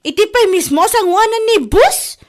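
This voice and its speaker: Ilocano radio comedy: excitable, complaining female office worker, high voice, exclamatory delivery. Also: moderate pace. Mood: indignant. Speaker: actress in a radio comedy drama.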